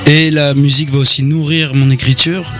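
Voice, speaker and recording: A man's voice: a little high, flat delivery, moderate pace, fairly bright tone, soft background music. A man, a musician, answering radio interview questions in an earnest, hesitant way, often repeating words as he thinks. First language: French. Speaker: musician guest.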